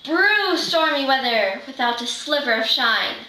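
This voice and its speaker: A teenage girl playing an excitable, proud witch who chants spells dramatically in a readers' theater reading. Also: high voice, measured pace, a little hiss or hum, pitched below the performer's own voice, heavily acted. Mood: chanting. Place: living room, far camera microphone. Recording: roomy, distant microphone.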